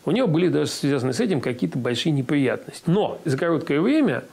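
Gravelly older man recalling, hesitant. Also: a little high, very animated, fast, darkish tone. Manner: hesitant, many filler sounds. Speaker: interviewee, producer. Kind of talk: interview recollection. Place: ornate indoor room.

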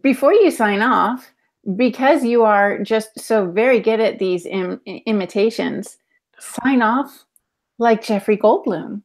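A woman's voice: a little high, unhurried. A middle-aged woman co-hosting a casual live podcast over a video call. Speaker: podcast co-host. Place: indoor room at home, on a video call. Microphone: earphone headset on a video call.

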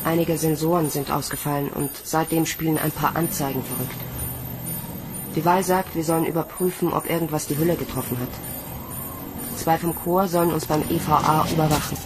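A woman's voice: low voice, fairly even intonation, fairly quick, music in the background, lightly acted. An actress playing a hot-tempered young female space recruit in a German audio drama, blunt and emotional. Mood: wary, reflective. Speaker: voice actor, lead and narrator.

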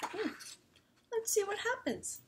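High-pitched, expressive young woman storyteller. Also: background noise. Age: young adult. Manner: expressive, deliberate, engaging young listeners with questions. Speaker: read-aloud storyteller. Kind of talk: children's picture book read-aloud with questions. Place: small room at home, indoors.

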